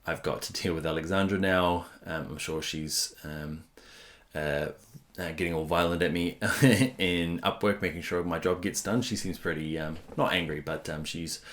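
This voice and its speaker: Kiwi man, very low deep voice, hushed and rambling, casual web tutorial host. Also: fairly even intonation, moderate pace. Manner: speaks softly, rambling with many fillers. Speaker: web developer. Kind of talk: casual screen-recorded tutorial. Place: home at night while family sleeps. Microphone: computer mic while screen recording.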